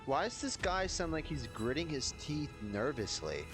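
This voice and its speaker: Casual male streamer with lively pitch. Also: normal speed. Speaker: video game live streamer. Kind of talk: casual game commentary. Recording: stream mic over loud game music.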